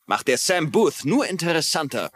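Demanding male bigwig, slightly high voice, big pitch swings, TV crime drama. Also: big pitch swings, moderate pace, loud music behind the voice. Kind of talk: dubbed TV crime drama dialogue.

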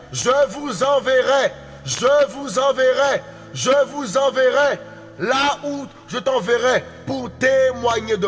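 Guy preaching a prophecy in tongues, super high voice, slow, big reverby hall with soft music behind. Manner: intense, repetitive declaiming, chanting at times. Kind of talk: prophetic utterance with speaking in tongues.

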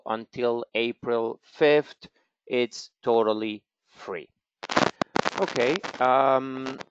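A man's voice: medium pitch, clear, resonant tone. A man with a Venezuelan Spanish accent, a genealogy expert hosting a live webinar and walking through a website, speaking carefully with many fillers. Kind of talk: live webinar with screen-share walkthrough. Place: office in Israel. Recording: dull, slightly static home mic.

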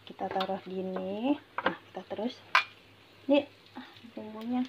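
A woman's voice: mid-range voice, measured pace, highs cut off. A woman from Indonesia gives a casual, unscripted cooking tutorial in a chatty, repetitive way, dropping in English words. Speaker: home cooking vlogger. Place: home kitchen, indoors. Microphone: handheld phone behind the camera. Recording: noisy kitchen with frying sizzle. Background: frying sizzle, stir noises.

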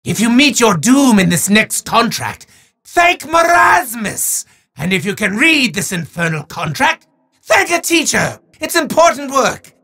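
Evil wizard for a game: high, piercing, forceful delivery, hammy and grandiose, maniacal villain with chatty asides. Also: male voice, big pitch swings, slightly rough, full character voice. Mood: hammy, blustering. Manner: grandiose, theatrical, booming declarations dropping into fast asides. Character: bombastic, maniacal, hard-up male evil wizard. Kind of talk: theatrical video game villain voice lines.

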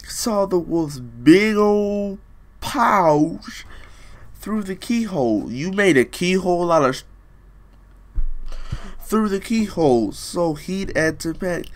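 A man's voice: high voice, animated, slow pace, pauses often. A young man reading a fairy tale aloud in a small room, joking in slang and breaking off to riff, close to a webcam. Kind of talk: comedic storybook reading with asides.

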